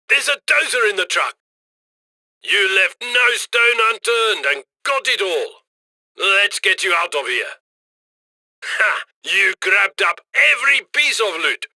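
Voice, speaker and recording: Bright, forceful, cocky heist handler. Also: male voice, high-pitched, dry recording, clean studio sound, exaggerated voice. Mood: upbeat, brisk. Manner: cocky, brisk, commanding. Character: brash, upbeat male heist handler guiding a criminal crew. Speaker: mission handler giving instructions over comms. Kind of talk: video game voice lines, punchy commands.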